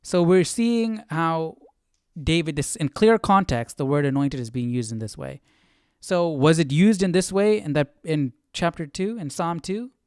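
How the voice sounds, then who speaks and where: A man hosting a casual live Bible study, thinking aloud in a halting way and asking rhetorical questions as he goes. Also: a little high, a little mellow, clean tone, no room sound, clean studio sound.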